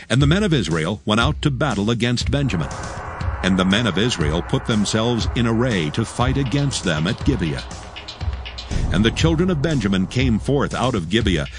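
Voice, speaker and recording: A man narrating scripture from a script in a solemn, measured way. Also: fairly low pitch. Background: music.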